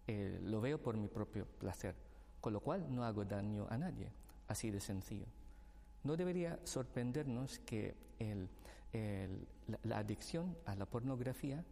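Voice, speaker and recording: A man from the Philippines speaks as a guest on a discussion panel, explaining his points in a measured way and backing them with studies. His voice is mellow and darkish, with a long reverb trailing behind it. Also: medium pitch, vocal fry. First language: Filipino language.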